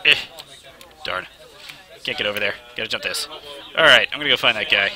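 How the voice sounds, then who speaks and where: Excitable man streaming a game. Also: lowish voice, a little fast. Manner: excitable, talks to himself while playing. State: has a slight cold, sniffling. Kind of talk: live let's play commentary. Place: game studio event.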